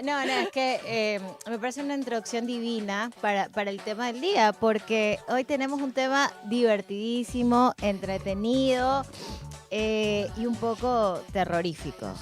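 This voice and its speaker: High-pitched woman hosting casually. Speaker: show host. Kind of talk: casual chat show hosting.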